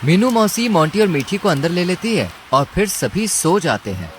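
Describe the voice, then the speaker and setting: A man's voice: sits high, sing-song, normal speed. A man reading scripted narration for a cartoon story. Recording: clear rain and ambience noise.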